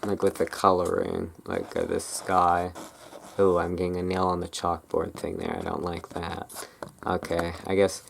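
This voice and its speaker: Low creaky voice, slow ASMR rambling. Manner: rambling, self-correcting, tentative. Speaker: ASMR video host. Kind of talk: casual rambling ASMR show-and-tell. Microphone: built-in camera microphone, close. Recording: noisy camera microphone with clicking sounds.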